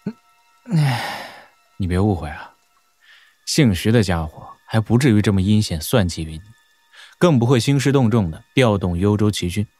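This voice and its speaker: A man's voice: lowish voice, animated, moderate pace, long pauses, a little mellow, breathy edge, dry recording. An actor playing a candid martial-world man in an audio drama, talking casually as he explains. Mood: reassuring, explaining. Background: background music.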